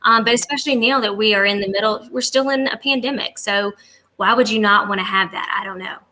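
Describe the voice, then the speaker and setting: A woman's voice: mid-range voice, a little fast, bright, forceful tone, rough recording. A woman speaking as a travel agent guest in a conversational interview, agreeable, affirming and eager to help.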